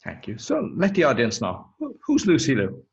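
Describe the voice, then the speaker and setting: A man's voice: medium pitch, huge pitch swings, moderate pace, vocal fry, lo-fi sound. A man hosting a speaking podcast, talking casually and reassuringly, often repeating words as he starts a sentence. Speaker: podcast host. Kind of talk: casual interview.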